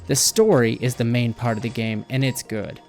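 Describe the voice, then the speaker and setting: A man's voice: medium pitch, steady tone, moderate pace, darkish tone. A man reading a scripted video game review as a voice-over narration. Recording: music behind the voice. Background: background music.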